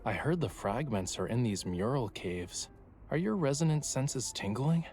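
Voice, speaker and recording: A man's voice: medium pitch, sing-song, unhurried, a little mellow, faint music behind. A man playing a teasing, playful young male guide in a dramatic game-style story, speaking casually. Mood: curious, wry.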